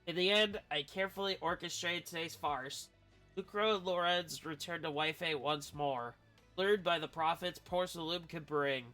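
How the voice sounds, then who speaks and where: A man's voice: fairly high pitch, sharp tone. A man who streams games, reading story text aloud and adding casual commentary. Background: video game music.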